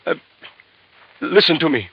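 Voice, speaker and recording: A man's voice: a little high, lively intonation, unhurried, vocal fry, slightly rough, dry recording, heavily acted. An actor playing an agitated, stammering male criminal who is cornered, in an old radio drama. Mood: panicked. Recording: dull, dry vintage radio recording.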